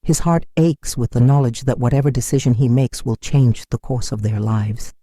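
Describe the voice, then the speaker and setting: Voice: mid-range voice, normal speed, mellow, no echo, studio quality. A narrator telling a dramatic folktale in a solemn, scripted storytelling style.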